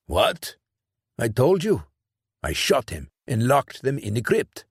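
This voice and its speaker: A man's voice: medium pitch, wildly swooping pitch, takes it slowly, slightly rough, no room sound, clean studio sound, voice pushed up, heavily acted. A man voicing a nervous, blustering male hired killer who stumbles defensively through his explanations.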